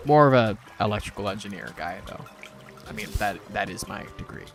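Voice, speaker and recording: A man's voice: fairly low pitch, lively intonation, fairly quick. A young man from Puerto Rico chatting casually while streaming a game, rambling and reading chat aloud. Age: young adult. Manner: rambling, easily distracted, reads chat aloud. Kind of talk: casual gaming livestream chat. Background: game music.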